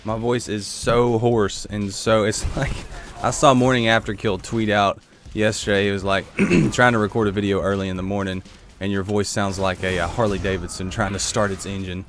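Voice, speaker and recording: A man giving casual gameplay commentary while playing a shooter, with a congested throat he keeps clearing, chatting to viewers and reacting aloud. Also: on the low side, fairly even intonation, normal speed, a bit bright, a little hiss or hum. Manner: chatty, reacts aloud to the game, answers chat. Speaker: gaming YouTuber.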